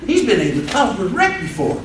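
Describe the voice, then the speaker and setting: A man's voice: sits high, wildly swooping pitch, fairly quick, background noise, voice pushed up. A man playing an ancient, fussy male angel who rambles and giggles while teasing a crowd, recorded from far off in an echoey event room.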